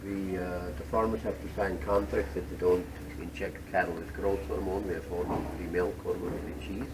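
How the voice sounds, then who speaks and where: A deep-voiced man leads a factory tour and explains things with plenty of "uh"s and slightly foreign phrasing. He is picked up from a distance in an echoey factory hall, and steady machinery noise runs under his voice. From Switzerland. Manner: unhurried, many fillers, slightly foreign phrasing. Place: cheese factory floor.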